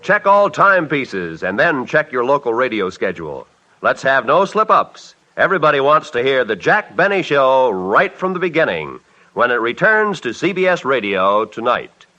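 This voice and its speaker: Bright, animated vintage radio announcer man. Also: medium pitch, moderate pace. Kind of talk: 1950s network radio announcing, scripted. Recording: dull vintage radio recording, faint music.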